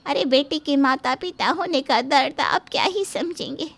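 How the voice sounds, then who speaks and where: A woman's voice: high-pitched, measured pace, creaky, fairly bright tone, heavily acted. A woman playing a scheming, whining and threatening female relative in a dramatic moral story. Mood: indignant, wailing. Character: scheming, shrill mother of the bride and greedy threatening daughter. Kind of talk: animated moral-story dubbing.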